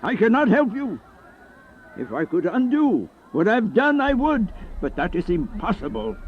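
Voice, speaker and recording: Aged, brooding vampire, wildly swooping voice. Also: male voice, high-pitched, very animated, measured pace, slight background noise. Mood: grim, resigned. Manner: grandiose, brooding, melodramatic monologue. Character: aged, sickly first vampire, bitter and remorseful. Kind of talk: theatrical radio horror play acting. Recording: dull lo-fi radio play with music.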